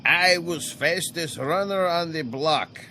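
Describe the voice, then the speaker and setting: A man's voice: fairly high pitch, takes it slowly, bright, forceful tone, a little hiss or hum. An actor in a radio drama playing a frail, folksy old man who is boastful yet hesitant and full of wonder. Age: older.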